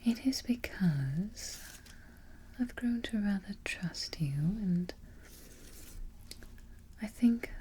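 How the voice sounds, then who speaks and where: A woman playing a haughty evil queen in a soft-spoken, breathy ASMR roleplay, giving imperious orders close to the microphone. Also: medium pitch, animated, a little hiss or hum. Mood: imperious, smug. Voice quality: breathy, half-whispered. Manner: slow, deliberate, imperious.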